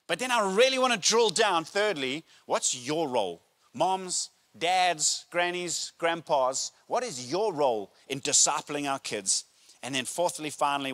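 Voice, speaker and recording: A middle-aged man, a pastor preaching a sermon with emphatic, deliberate phrasing and pauses, speaking into a handheld microphone. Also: fairly high pitch, fairly bright tone. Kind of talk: sermon to a congregation. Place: church auditorium stage, indoors.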